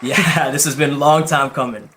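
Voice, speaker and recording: A man's voice: fairly high pitch, fairly even intonation, moderate pace, bright, forceful tone, a little hiss or hum. A man hosting a casual interview, speaking informally and with enthusiasm. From Canada. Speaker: podcast host.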